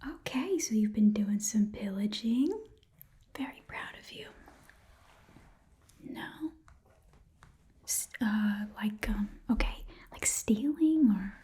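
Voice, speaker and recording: A woman voicing a shady, cheerful goblin pawn shop keeper in a breathy ASMR whisper, with casual, rambling sales patter. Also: medium pitch, slow, pauses often, warm tone, natural voice. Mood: chatty, pleased. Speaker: ASMR role-play performer. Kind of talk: whispered ASMR shopkeeper role-play.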